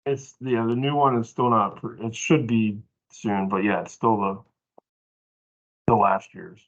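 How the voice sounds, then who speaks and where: Halting man in dull meeting audio. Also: lowish voice, steady tone. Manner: halting, self-correcting. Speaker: staff member explaining billing rates. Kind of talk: informal explanation in a work meeting.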